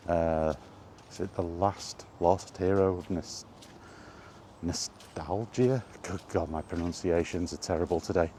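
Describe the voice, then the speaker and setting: Male, deep voice, slow halting delivery, casual off-the-cuff chat, faint outdoor noise behind. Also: warm tone. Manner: halting, musing, repeats phrases. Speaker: host of a gaming chat series. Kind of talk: casual rambling monologue about video games.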